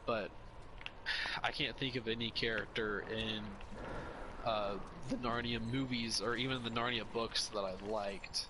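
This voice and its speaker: A man's voice: medium pitch, fairly even intonation, measured pace, noisy background. A young man in casual chat as a podcast co-host, rambling and opinionated, often restarting his sentences.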